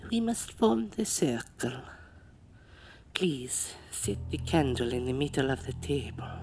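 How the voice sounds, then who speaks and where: An actress playing an eerie old woman who is a spirit medium, speaking slowly and ominously in an audio drama. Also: rumbling low, sing-song, noisy background, full character voice. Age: older.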